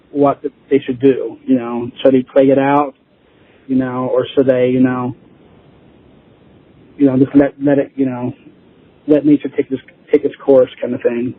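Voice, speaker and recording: A man's voice: mid-range voice, no echo. A man being interviewed as a band drummer, chatting casually in a rambling way with lots of "you know," over a lo-fi call. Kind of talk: casual chat. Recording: lo-fi phone-like line.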